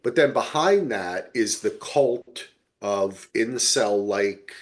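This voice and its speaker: A man's voice: fairly low pitch, lively intonation, slow pace. A man hosting a casual podcast, explaining things in an emphatic, unfiltered way. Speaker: podcast host. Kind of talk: casual chat.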